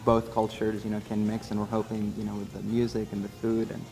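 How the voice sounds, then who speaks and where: A young man from Syria talks in an easygoing, chatty way. His voice has a gravelly edge and a fairly level tone. Clear music plays under him throughout. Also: lowish voice, a little fast, poor audio. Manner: relaxed, chatty, with light laughs. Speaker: groom-to-be interviewee. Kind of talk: casual chat. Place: hotel meeting room, seated at a table.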